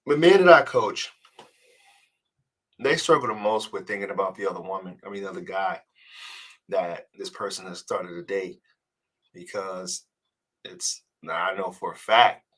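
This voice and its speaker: A man with a somewhat low voice gives relationship advice in a loose, conversational live-stream manner. He sounds congested from a cold and often stumbles and repeats words as he works toward his point. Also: normal speed, long pauses, a bit bright. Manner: casual, earnest, repeats words when restarting. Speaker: dating coach. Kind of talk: live-stream advice chat reading comments.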